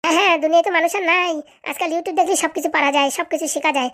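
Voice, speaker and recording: Shrill, rapid-fire nagging cartoon wife voiced by a woman. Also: steady tone, fairly bright tone. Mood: scolding, contemptuous. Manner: scolding, accusatory, rapid-fire haranguing. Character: bossy, nagging, domineering wife of a village politician. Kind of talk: comic cartoon voice acting.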